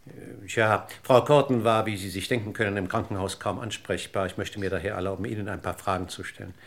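An actor playing a courteous, methodical police inspector in a German radio crime drama, questioning with formal, deliberate politeness. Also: male voice, fairly low pitch, steady tone. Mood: polite, inquiring. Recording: noisy old radio play recording.

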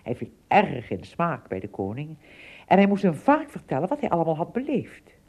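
Dark, husky woman storyteller. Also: low-pitched, wildly swooping pitch, moderate pace. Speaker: narrator. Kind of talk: scripted storybook narration for radio drama.

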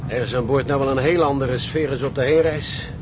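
A man's voice: mid-range voice, steady tone, a little fast, noisy background, close to an everyday voice. An actor playing a dry, sardonic seaman at the helm in a radio drama. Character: wry, gloomy seaman at the helm. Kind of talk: Dutch radio drama acting.

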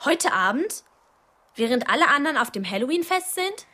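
An actress playing a chatty, excitable young girl in a radio play. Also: high voice, moderate pace, heavily acted. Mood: astonished.

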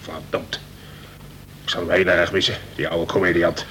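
A man playing an older prison governor, a colonel who speaks in a measured, formal and wry way, in a Dutch radio play. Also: flat delivery, a bit bright. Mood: wistful. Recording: noisy old radio recording with rumble.

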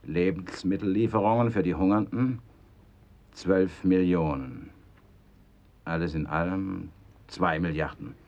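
An actor in an experimental radio play, reciting figures in a flat, detached way. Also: male voice, low-pitched, a little slow, long pauses. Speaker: radio drama performer.

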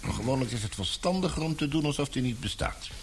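An actor playing an authoritative older newspaper baron in a radio play, brisk, patronising and fatherly. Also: medium pitch, lively intonation, a little hiss or hum, lightly acted. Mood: lecturing. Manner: commanding, patronising. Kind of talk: Dutch radio play acting.

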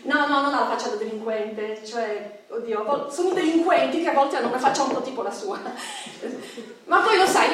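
A very echoey, distant recording of a neurotic bourgeois woman in a radio play. Her voice is high, and she chatters on nervously, correcting herself as she goes. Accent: Italian. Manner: rambling, self-correcting, nervous laughter. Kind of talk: radio drama acting before an audience. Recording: echoey, distant microphone, faint noise.

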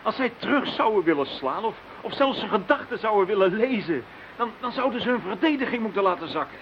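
Radio drama: worried male pessimist, fairly high voice, hesitant under strain, dull hissy recording. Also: lively intonation, a little fast. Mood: worried, doubtful. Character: anxious, pessimistic, agitated man in a conspiracy thriller. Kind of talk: Dutch radio play acting.

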